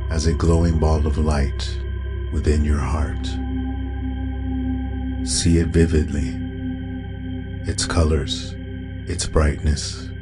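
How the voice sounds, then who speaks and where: A man with an extremely deep voice guides a meditation. His delivery is calm and soothing, and he moves slowly from phrase to phrase. Clearly audible meditation music with singing bowl tones plays behind him.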